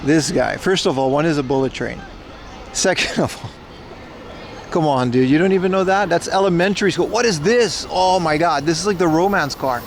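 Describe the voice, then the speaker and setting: A man's voice: a little high, normal speed, vocal fry. A man livestreaming outdoors by a railway platform, chatting casually with viewers and teasing them in mock insults. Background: faint trains and city traffic.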